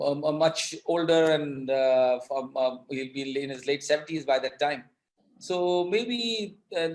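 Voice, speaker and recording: A man with an Indian accent giving political commentary in an interview, halting but emphatic, often repeating his words. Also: on the high side. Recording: echoing, roomy sound.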